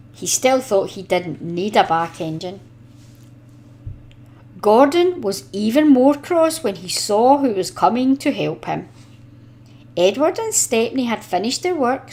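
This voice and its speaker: A woman reading a children's storybook aloud in an expressive, deliberate storytelling manner. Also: medium pitch. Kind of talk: expressive scripted storybook narration.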